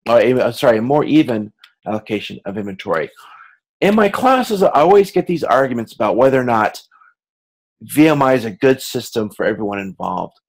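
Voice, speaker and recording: A man with a medium-pitched voice delivers a university lecture in an explanatory, informal way. His delivery is halting, with repeated words and self-corrections as he works through each point. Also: lively intonation, poor audio.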